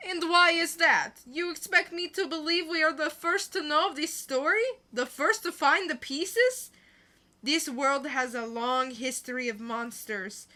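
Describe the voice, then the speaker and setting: A woman puts on an excitable, skeptical military general for a comic dramatic reading. The voice is high and very sharp, with slow, theatrical phrasing and an indignant manner.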